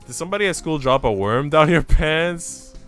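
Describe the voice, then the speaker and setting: A man's voice: on the high side, animated, moderate pace, a bit bright, clear, resonant tone, faint music behind, pitched above the performer's own voice. A man voicing a blunt, bossy, food-loving little girl from a visual novel. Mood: matter-of-fact. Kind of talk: visual novel character read aloud.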